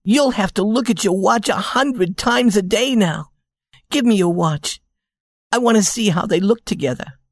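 A woman's voice: mid-range voice, moderate pace, no room sound, clean studio sound, natural voice. A woman acting a loving, eager young wife in a storybook tale, speaking in an earnest, pleading, emotive way. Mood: excited. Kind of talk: audiobook character dialogue.

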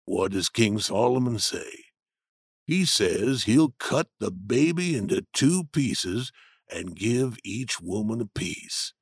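A man reading an audiobook, voicing a stubborn grown man who argues slowly and insistently from common sense. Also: fairly low pitch, animated, husky, no room sound, clean studio sound, voice pushed down, full character voice. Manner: slow, insistent, arguing.

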